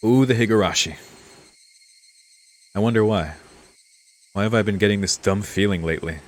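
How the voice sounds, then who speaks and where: A man with a low-pitched voice works through a story game as a let's player. He reads narration aloud and muses on it casually, in a laid-back way. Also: moderate pace. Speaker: let's-play streamer. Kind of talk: reading game text aloud with casual commentary.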